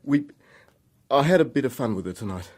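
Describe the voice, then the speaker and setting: An actor playing a shaken young man in a radio drama, speaking in halting, urgent, pleading bursts. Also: mid-range voice, animated, a little mellow, close to an everyday voice. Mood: agitated, confessional. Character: agitated, shaken young man confessing to trouble.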